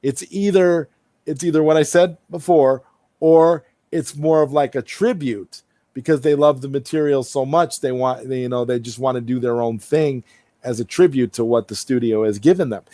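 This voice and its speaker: A man's voice: medium pitch, normal speed, mellow, no echo. A man hosting a livestream, chatting casually and answering viewer comments, often repeating and rephrasing himself as he thinks aloud. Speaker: livestream host.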